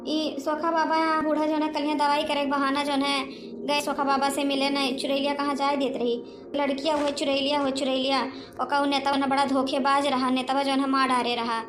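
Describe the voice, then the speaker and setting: Lady doing cartoon village auntie, high voice, awadhi accent, chatty n indignant, not much pitch movement. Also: echoey room, natural voice. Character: chatty indignant village sister-in-law, sympathetic gossip. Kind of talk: amateur Hindi cartoon dialogue.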